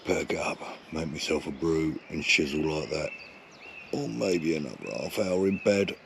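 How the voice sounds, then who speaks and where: A man's voice: a bass voice, normal speed, vocal fry, noisy background. A middle-aged man, hungover and groggy, chatting jokily to camera at a woodland camp. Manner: chatty, jokey, self-mocking. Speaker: vlogger. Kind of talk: casual camping vlog to camera.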